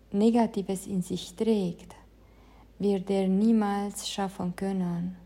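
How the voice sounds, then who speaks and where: A woman who teaches meditation explains her classes in careful, measured speech, as a non-native speaker of the language. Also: mid-range voice, dark tone. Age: adult, past her teens. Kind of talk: calm spoken introduction to meditation.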